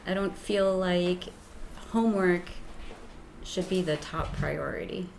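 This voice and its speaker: A woman teacher with a soft voice, a guest in an interview, explaining hesitantly with many ums and restarts. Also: on the low side, slow pace, vocal fry, a little hiss or hum.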